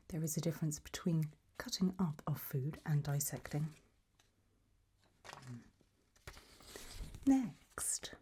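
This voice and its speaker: A woman doing a whispered ASMR roleplay as an examiner, hushed and deliberate, pausing between short phrases. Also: low voice, breathy edge. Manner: slow, deliberate, softly instructive with long pauses between options.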